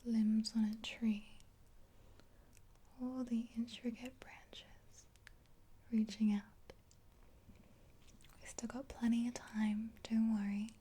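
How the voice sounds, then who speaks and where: A woman in her twenties whispering a gentle ASMR roleplay in a breathy, unhurried, hesitant voice, close to the mic. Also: a little high, level tone. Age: 20s. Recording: hissy close recording with clicks.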